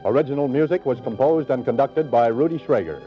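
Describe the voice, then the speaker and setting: A man's voice: mid-range voice, a little fast. A man reading scripted announcements in the style of a 1940s radio announcer. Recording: dull vintage 1940s radio recording. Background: music.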